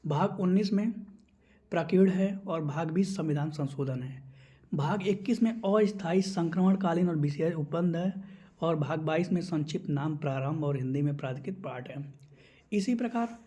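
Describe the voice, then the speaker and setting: A man's voice: fairly high pitch, moderate pace, dark tone. A man giving an instructional exam-prep lecture, explaining points item by item as a teacher.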